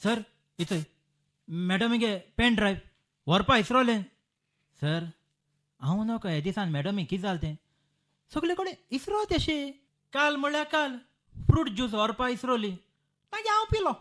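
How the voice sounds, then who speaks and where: A man's voice: extremely high pitch, very animated, normal speed, pauses often, fairly bright tone, full character voice. A man playing a flustered, stammering male servant with a Goan accent in a comic radio play. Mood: flustered, explaining. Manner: stammering, repeats words, pleading. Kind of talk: Konkani musical radio comedy play.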